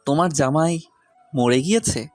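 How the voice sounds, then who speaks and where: A man's voice: a little high, animated, normal speed, darkish tone, dry recording, natural voice. Male actor as stern young lecturer in romance audio drama; curt, commanding, teasing; dry recording, faint music underneath. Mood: teasing, irritated. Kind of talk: acted dialogue in an audio romance story.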